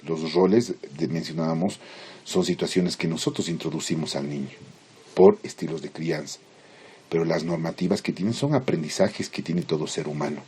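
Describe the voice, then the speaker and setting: Deep, husky man giving a lecture. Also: dark tone. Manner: didactic, halting phrasing with check-in questions. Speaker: psychology lecturer. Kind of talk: informal lecture, explaining theory with everyday examples.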